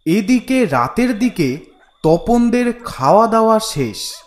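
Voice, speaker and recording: Casting: male storyteller for scripted horror animation, unhurried delivery, slightly high voice. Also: very animated. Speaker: storyteller, narrator.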